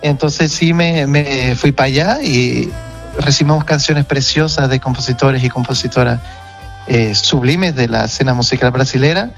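A man from Portugal with a Portuguese accent, speaking as a guest musician in a relaxed interview, talking frankly and reflectively. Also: medium pitch, moderate pace, soft background music. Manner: frank, reflective, self-correcting. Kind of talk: casual interview chat.

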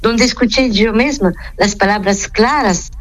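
A woman, a nun interviewed by phone or studio link, speaking careful, halting Spanish with a Portuguese accent. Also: medium pitch. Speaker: nun, project coordinator.

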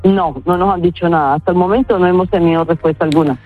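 A woman's voice: on the low side, steady tone, fast talker. A woman with a Colombian accent gives spontaneous testimony for a news report. Her recording is dull and lo-fi, with music faintly behind it. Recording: muffled lo-fi report clip.

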